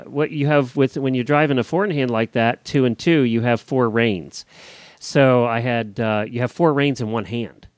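A man's voice: mid-range voice, dry recording. A man with an American accent co-hosting a podcast, casually chatting and telling stories. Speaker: podcast co-host.

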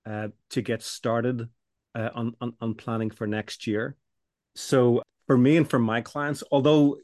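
Middle-aged male coach as podcast guest, steady mid pitch, dry close sound, measured explaining. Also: no echo. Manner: measured, explanatory, with frequent restarts. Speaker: business coach for law firms.

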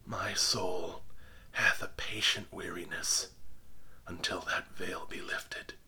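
A man's voice: deep voice, fairly even intonation, takes it slowly, bright, forceful tone, slightly rough, exaggerated voice. A man doing a dying old clergyman, solemn. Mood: faint. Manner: solemn, archaic speech. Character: dying old male clergyman, veiled and grim.

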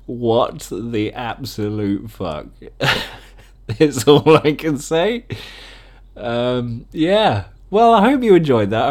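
A podcast host chatting casually in a rambling, giggly, self-mocking way. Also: mid-range voice. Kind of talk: casual, improvised podcast chat.

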